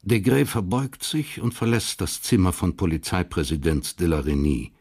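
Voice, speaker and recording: A man narrating a radio crime drama in a measured, literary storytelling manner. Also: deep voice, big pitch swings, a little mellow, slightly rough.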